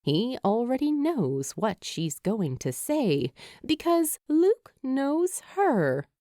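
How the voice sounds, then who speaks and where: Mellow, expressive woman narrator. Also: fairly high pitch, no echo, studio quality. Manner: expressive, deliberate reading. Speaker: fan fiction podfic narrator. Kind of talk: scripted storytelling narration.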